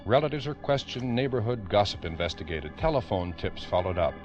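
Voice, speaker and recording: A man with an American accent narrating a 1950s radio true-crime drama as host, in a grave, emphatic, deliberate way. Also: on the low side, animated, loud music behind the voice. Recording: dull old radio recording.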